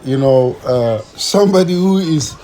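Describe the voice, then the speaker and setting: A man's voice: mid-range voice, lively intonation, normal speed, warm tone. Ghana-born man vlogging, hesitant. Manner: hesitant, many fillers and restarts. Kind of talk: casual vlog chat.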